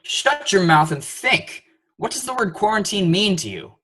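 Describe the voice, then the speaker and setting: Man playing a flippant, husky, animated drinker. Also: sits high, normal speed, full character voice. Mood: exasperated. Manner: sarcastic, bickering. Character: flippant, hard-drinking male theatre player. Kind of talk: theatrical audio play acting.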